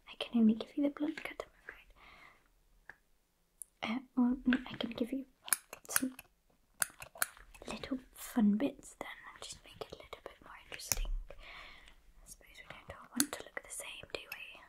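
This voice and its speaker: A woman in her twenties with a British accent playing a sassy, haughty witch in a breathy, unhurried ASMR whisper, close to the microphone. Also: on the high side, low-quality recording. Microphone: close microphone just off camera.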